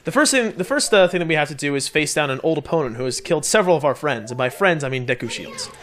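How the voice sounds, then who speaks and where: A man giving casual video game commentary as the host, playful and often pausing to find his words. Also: a little high, expressive, a bit bright. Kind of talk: casual Let's Play commentary.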